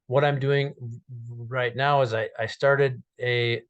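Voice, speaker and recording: Man in his 30s who stutters, unhurried personal story told straight into a close webcam. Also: medium pitch, flat delivery, fairly bright tone, dull audio. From Minnesota. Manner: stutters with repeated sounds and blocks, many fillers. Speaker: guest speaker. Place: small dark room indoors.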